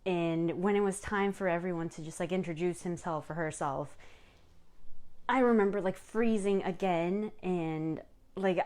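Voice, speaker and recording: A woman's voice: fairly low pitch, a little mellow. Chatty young woman telling her story. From South Korea. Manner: chatty, many fillers and self-corrections. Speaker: podcast host. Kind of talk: personal storytelling monologue.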